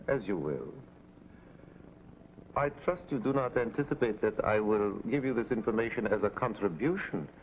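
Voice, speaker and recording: An actor playing a smug, calculating hired assassin in a 1950s TV drama, speaking in a formal, haughty, precise way. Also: male voice, on the low side, lively intonation, normal speed, natural voice. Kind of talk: 1950s television drama acting. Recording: dull, noisy old TV soundtrack.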